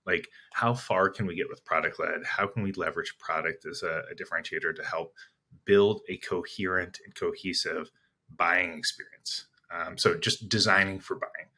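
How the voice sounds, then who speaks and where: Low-pitched man with a bright, forward voice. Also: fairly even intonation, moderate pace, husky. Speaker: interview guest, sales executive. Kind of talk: conversational business interview, explaining.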